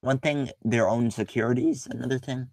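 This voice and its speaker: A man hosting his channel, pausing a clip to rebut it in skeptical casual commentary. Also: medium pitch, steady tone, gravelly, darkish tone, no echo. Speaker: channel host reacting to a video.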